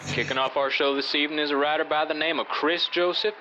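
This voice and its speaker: A man's fairly high voice, an actor as a showman rodeo announcer in a radio play. His delivery is formal and welcoming, in 1980s event style. Clear noise runs behind him. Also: fairly even intonation, fast talker, lo-fi sound, close to an everyday voice. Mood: upbeat.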